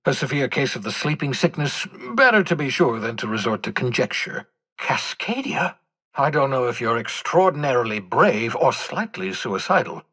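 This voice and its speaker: An actor playing an eccentric, jittery older fugitive scientist in a video game, speaking in a theatrical, wordy, wry way with hesitant asides. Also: male voice, mid-range voice, a bit bright, husky. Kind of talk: video game character dialogue.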